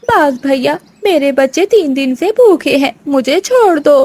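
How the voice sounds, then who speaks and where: A woman's voice: high voice, animated, unhurried, darkish tone, no echo. A woman voicing a lazy mother crow in a children's cartoon, whiny and pleading in a dramatic way. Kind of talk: Hindi children's cartoon voice acting.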